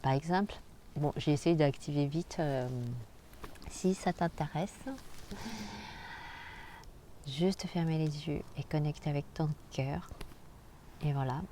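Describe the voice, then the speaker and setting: Slow, low-voiced woman, soft and halting. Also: fairly even intonation, slight background noise. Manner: soft and halting, with frequent hesitations; addresses the viewer directly. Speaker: spiritual channel host. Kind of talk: casual spoken vlog monologue.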